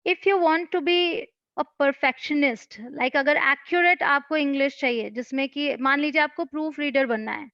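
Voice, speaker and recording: Coach type, woman, high voice, patient and advisory, dry lo-fi sound. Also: a little slow, few pauses, fairly bright tone, no room sound. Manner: patient, advice-giving explainer. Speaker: English-speaking coach. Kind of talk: answering questions in an online session.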